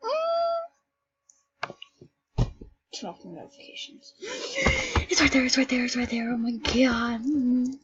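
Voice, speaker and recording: A woman commenting on a game she plays, excitable and jumping between shrieks and hushed whispers, sick and shivering with cold. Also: high voice, measured pace, long pauses, highs cut off, soft background music. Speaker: game video creator. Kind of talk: casual gameplay commentary.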